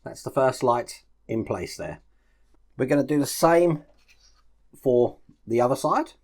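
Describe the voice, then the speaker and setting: A man's voice: mid-range voice, expressive, a little slow, darkish tone. A man chatting casually through a step-by-step build tutorial, explaining each move as he works. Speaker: host of a model-building channel.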